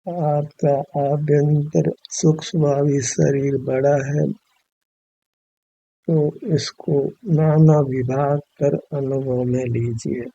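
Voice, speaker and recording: Slow, dark-voiced man guiding meditation. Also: on the high side, flat delivery, creaky, dry recording, highs cut off. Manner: measured, instructive, formal wording. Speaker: meditation guide. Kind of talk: guided meditation with spiritual discourse.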